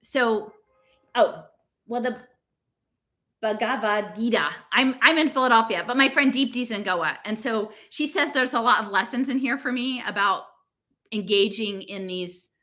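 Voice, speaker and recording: A woman's voice: a little high, a little slow, pushed and bright. An American woman giving an informal, impassioned monologue to online listeners, emphatic and exasperated, rambling through rhetorical questions. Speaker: podcast host reading her blog aloud with commentary.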